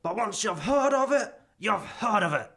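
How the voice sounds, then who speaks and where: A man voicing a ghost of a one-time professor, giddy and talkative, laughing through his tale. The voice sits very high and sounds bright and lively. It is a live theatre radio-play performance. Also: normal speed, vocal fry, heavily acted. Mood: chatty. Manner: cheerful, rambling, chuckling. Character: chatty ghost of a male former professor. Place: theatre stage before a live audience.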